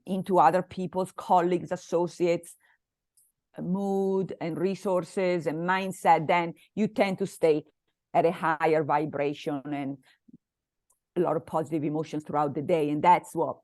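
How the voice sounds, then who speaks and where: A woman with an Italian accent speaks in a fairly low voice, recorded close and dry. She talks conversationally as an interview guest, pausing and repeating phrases as she explains things. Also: darkish tone, no echo. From Italy. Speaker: interview guest, business mastermind coach.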